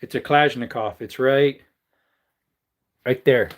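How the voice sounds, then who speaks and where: A man's voice: medium pitch, a little slow. A man hosting a casual livestream, rambling and joking while greeting viewers in the chat. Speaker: livestream host.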